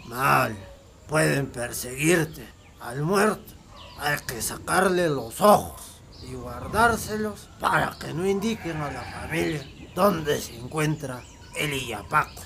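A man's voice: on the high side, slow pace, vocal fry, pushed and bright, full character voice. A man playing a grim older master marksman, terse and instructive, in a radio drama. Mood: grave, instructive.

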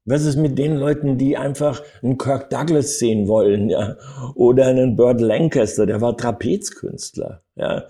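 An older man telling anecdotes as an interview guest, in an animated storytelling manner with frequent little tag words. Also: medium pitch, moderate pace. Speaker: acting coach and interview guest.

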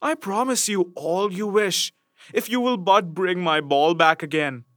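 A man doing a high young princess, spoiled and fickle. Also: a little slow, fairly bright tone, no echo, clean studio sound. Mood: eager.

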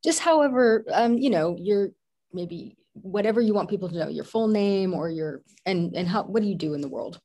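A woman's voice: medium pitch, animated, normal speed, mellow, no room sound. A woman who hosts a podcast and speaks in a rambling, casual way, with many ums and restarts. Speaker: podcast host. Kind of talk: casual podcast intro and interview.

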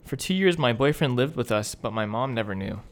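Animated young American man, joking and teasing. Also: mid-range voice, brisk. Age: young adult. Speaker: podcast co-host. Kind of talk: casual chat.

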